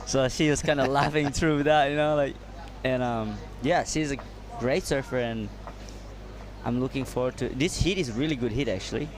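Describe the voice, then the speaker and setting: Friendly surfer guy co-commenting, kinda halting english, mid pitched, noisy outside with traffic. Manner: halting, friendly, laughs easily. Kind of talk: live sports commentary. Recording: noisy outdoor ambience with traffic.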